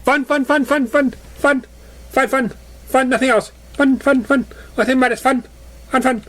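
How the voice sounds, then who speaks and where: A man's voice: very high voice, steady tone, normal speed, fairly bright tone, pitched above the performer's own voice. A man voicing a bubbly, childlike female cartoon pony who chatters simply and repetitively about having fun. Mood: carefree.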